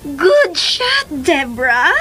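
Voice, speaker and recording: A woman's voice: very high-pitched, heavily acted. A woman acting in a radio drama as a scheming, gleeful spy who mutters and exclaims to herself in a melodramatic way. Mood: gloating. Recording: studio radio drama over music.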